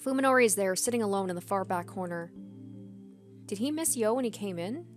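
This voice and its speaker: A woman narrating a visual novel aloud as a let's player, expressive, with casual commentary. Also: mid-range voice, fairly quick. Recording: background music under the voice. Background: game music.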